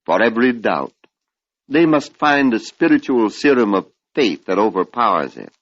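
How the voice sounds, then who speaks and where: An older man giving a measured, oratorical spiritual lecture on an old radio broadcast, posing rhetorical questions. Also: fairly low pitch, big pitch swings, slightly rough, no echo. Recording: dull lo-fi vintage radio recording.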